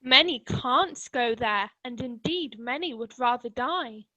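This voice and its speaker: A woman's voice: a little high, lively intonation, measured pace, bright, forceful tone, no room sound, dull audio, exaggerated voice. A woman playing a genteel, earnest lady collecting for charity in a radio play, polite and formal. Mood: affronted.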